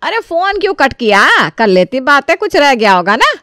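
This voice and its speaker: A woman's voice: high voice, big pitch swings, normal speed, bright, forceful tone, no echo, clean studio sound, full character voice. A woman voicing a bossy, sarcastic older mother-in-law who scolds and nags in a dramatised story.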